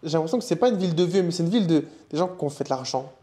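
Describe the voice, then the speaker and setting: Fast-talking slangy young man. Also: fairly high pitch, lively intonation, mellow. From Morocco, raised in Canada and Switzerland. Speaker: guest. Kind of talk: casual interview chat.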